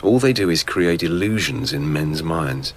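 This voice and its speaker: A lieutenant investigator with an extremely deep voice. He questions in a measured, matter-of-fact way, in radio play style over faint background ambience. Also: lively intonation, a little hiss or hum. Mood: reflective. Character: measured, probing male lieutenant investigating at the imperial court. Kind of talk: radio drama acting.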